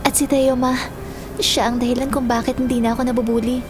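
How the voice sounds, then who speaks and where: A woman's voice: fairly high pitch, level tone, fast, noisy background, natural voice. A woman acting a sweet, cheerful teenage schoolgirl in a Tagalog audio drama. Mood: happy.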